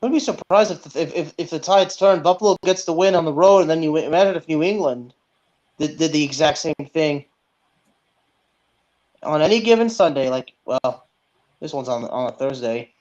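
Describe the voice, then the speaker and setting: A man co-hosting a sports podcast in casual chat, speaking haltingly and repeating words as he goes. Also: on the high side, expressive, darkish tone. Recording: dull, lo-fi sound.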